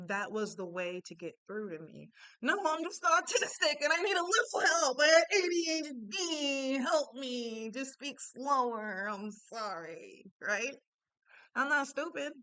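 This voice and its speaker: A woman with a slight Panamanian Spanish accent telling personal stories in a casual, animated monologue, often checking in with "right?". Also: on the high side, low-quality recording. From born in Japan, Panamanian family. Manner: animated, conversational, frequent "right?" asides. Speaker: self-help speaker.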